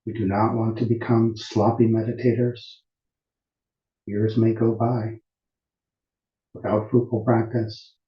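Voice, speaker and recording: A man teaching meditation at a retreat in a calm, deliberate, unhurried way with long pauses between phrases. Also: fairly low pitch. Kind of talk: guided meditation instruction at a retreat. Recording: muffled, roomy echoing sound.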